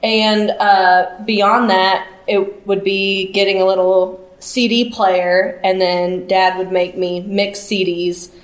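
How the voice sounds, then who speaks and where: A woman's voice: mid-range voice, level tone, takes it slowly, hardly any pauses. A young adult woman, a band vocalist, chatting casually as a guest. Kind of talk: casual chat. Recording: roomy, echoey sound.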